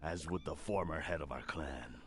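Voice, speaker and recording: An actor playing a scheming older clan head who speaks in a haughty, condescending way, in a video game. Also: male voice, very deep voice, normal speed, slight background noise. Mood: smug.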